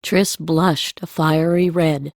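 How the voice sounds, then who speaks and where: A woman's voice: low voice, steady tone, takes it slowly, creaky, a little mellow, no echo, clean studio sound. A woman reading scripted storybook narration as an audiobook narrator.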